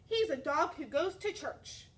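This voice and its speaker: A woman's voice: high-pitched, lively intonation, a little slow, pitched above the performer's own voice. A woman voicing an earnest, polite young girl from a children's storybook. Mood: eager. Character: earnest, polite young girl who loves her dog.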